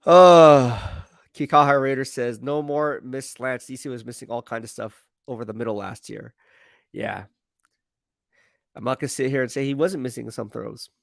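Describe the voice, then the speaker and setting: A man talks off the cuff as a football streamer. His mid-pitched voice has a creaky, gravelly edge and sits dry, without room sound. He rambles in slangy, emphatic phrases and often repeats himself for weight. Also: moderate pace, no echo. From San Francisco Bay Area, United States. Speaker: sports streamer and football commentator. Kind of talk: casual live stream chat, answering viewer comments.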